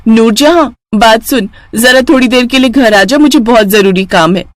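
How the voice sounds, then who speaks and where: A woman's voice: fairly high pitch, moderate pace, dry recording, lightly acted. A woman voicing a haughty, boastful rich elder sister who bosses people around in a storybook tale. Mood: demanding. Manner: boastful, ordering, dismissive.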